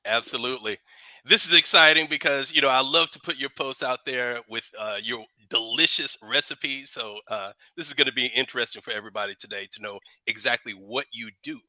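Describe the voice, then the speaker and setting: A man hosting a podcast in casual interview chat, halting at times as he restarts his questions. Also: mid-range voice, bright, forceful tone, no room sound, poor audio. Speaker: podcast host.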